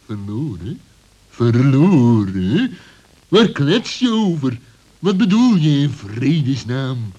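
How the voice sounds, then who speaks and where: A man voicing a sly, greedy fox in a children's radio play, with a husky, menacing and mocking manner. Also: mid-range voice, sing-song, slow pace, dark tone, dull audio, voice pushed up. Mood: suspicious, scoffing. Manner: menacing, mocking, swooping delivery with chuckles. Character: sly, greedy, bullying male fox villain.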